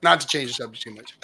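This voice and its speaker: A man's voice: medium pitch, pushed and bright, a little hiss or hum. A man hosting a casual livestream, chatting with rambling asides and reading out comments from the chat. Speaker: livestream host.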